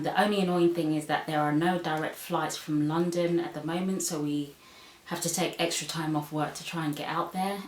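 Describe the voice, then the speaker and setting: A woman from Zambia chatting casually on her vlog, reading out questions with lots of ums and sos. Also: low-pitched. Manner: chatty, many "um"s and "so"s. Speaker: vlogger. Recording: echoey room, far from mic.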